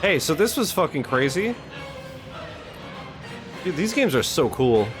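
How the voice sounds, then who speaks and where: A man's voice: a little high, sing-song, a little slow, over music. A man hosting a reaction video in casual, slangy, sweary chat.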